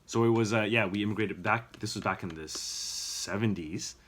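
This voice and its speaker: A man, a father, casually telling family stories to his young daughter in a gentle, rambling way. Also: lowish voice, normal speed. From Canada. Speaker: father and channel host.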